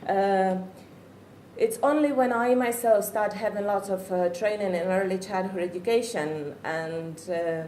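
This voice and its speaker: A woman's voice: mid-range voice, darkish tone. Earnest Czech-accented woman, echoey room. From Czech Republic. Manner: earnest, reflective, searching for words. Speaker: parent speaker. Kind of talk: personal testimony talk. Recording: echoey room with faint noise.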